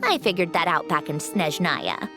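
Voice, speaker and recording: A woman's voice: on the low side, very animated, normal speed, over music. A woman voicing a proud, guarded young woman in a video game, clipped, matter-of-fact and aloof. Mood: brisk, guarded. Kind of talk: English video game voice acting.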